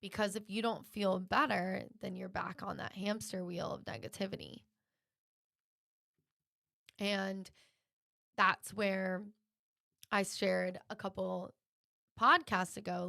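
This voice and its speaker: A woman delivers a motivational podcast monologue in a medium-pitched voice with noticeable creak. She is encouraging and drives her points home by restating them. The sound is dry, with very little room in it. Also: flat delivery, slow pace, pauses often, bright, forceful tone, no echo. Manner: encouraging, emphatic repetition. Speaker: podcast host.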